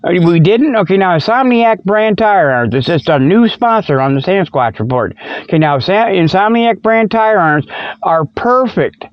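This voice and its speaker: A man's voice: high voice, animated, moderate pace, dry recording, lo-fi sound. A man voicing a goofy, wisecracking cat who hosts a comedy radio show in a rambling, folksy, stumbling way. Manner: rambling, folksy, stumbling, chuckles at own jokes. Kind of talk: comedic radio monologue with spoof ads.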